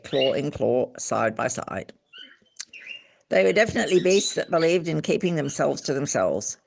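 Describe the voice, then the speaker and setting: Creaky-voiced Australian storyteller reading aloud. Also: medium pitch, a little hiss or hum. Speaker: audiobook-style reader. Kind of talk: expressive literary storytelling read aloud.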